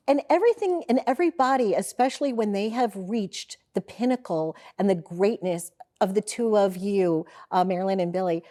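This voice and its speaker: A woman's voice: medium pitch, mellow. A woman from St. Louis hosting a talk show, asking her guests questions in a gushing, effusive way. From St. Louis, Missouri. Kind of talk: casual interview.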